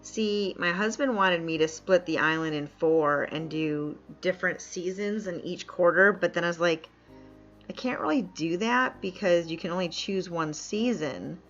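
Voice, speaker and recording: A woman's voice: on the low side, moderate pace, a bit bright. A woman from upstate New York chatting casually while live-streaming a game, laughing often and reading chat aloud. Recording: dull lo-fi mic with faint music.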